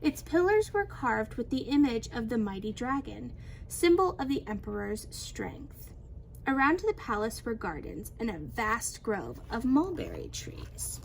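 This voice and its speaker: A woman reading a children's storybook aloud in an expressive, animated storytelling manner. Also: fairly high pitch, unhurried, gravelly, a little hiss or hum, low-quality recording. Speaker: teacher reading a picture book aloud.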